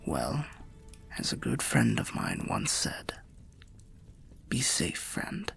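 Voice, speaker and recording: A man voicing a weary old undead soul, telling fantasy lore softly in a low, gravelly, breathy roleplay as a slow, courteous, cryptic storyteller. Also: wildly swooping pitch, whispered, soft background music, exaggerated voice. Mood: gentle, fond. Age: older. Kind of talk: soft-spoken fantasy roleplay ASMR narration.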